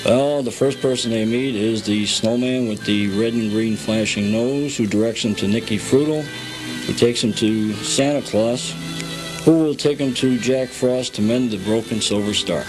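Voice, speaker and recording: A man's voice: medium pitch, moderate pace, poor audio. Man guiding through a story, music behind. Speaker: guest, hobbyist showing the village they built. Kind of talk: informal guided storytelling. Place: at home, indoors.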